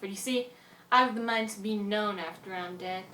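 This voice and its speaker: A woman acts a boastful, weathered brig captain in an amateur radio drama. The voice is bright and forceful with salty speechifying, recorded off mic in an echoey room with some noise. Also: medium pitch, moderate pace, recorded from a distance, lightly acted.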